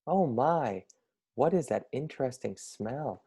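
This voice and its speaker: A man's voice: mid-range voice, expressive, slow pace, dark tone, breathy edge, heavily acted. A man voicing a doting, meddling monster aunt from a children's storybook. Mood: curious.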